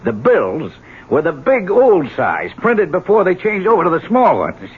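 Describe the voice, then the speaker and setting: An actor playing a talkative, tough insurance detective with a wry, insinuating, slangy manner in a 1970s radio drama. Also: male voice, sits high, wildly swooping pitch, measured pace, breathy edge, heavily acted. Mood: insinuating, amused. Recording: tinny old radio broadcast, faint noise.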